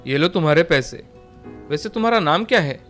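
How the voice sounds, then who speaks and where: A man's voice: fairly high pitch, expressive, brisk, fairly bright tone, soft background music. A man playing an earnest, principled young well-off suitor and son in a story, speaking firmly and sincerely. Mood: polite. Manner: earnest, firm. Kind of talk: Hindi moral story dialogue.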